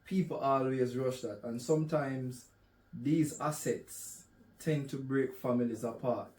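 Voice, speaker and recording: A man hosting an interview, asking questions in an unhurried way with brief murmured replies. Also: medium pitch, steady tone, a little mellow. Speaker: podcast host. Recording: echoey room, distant mic.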